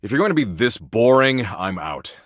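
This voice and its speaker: A man's voice: on the low side, very animated, normal speed, dry recording, studio quality. A man voicing a video game embodiment of existential dread, a jaded, foul-mouthed slacker with a flippant, laid-back, slangy drawl. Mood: dismissive, bored. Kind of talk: video game character voice lines.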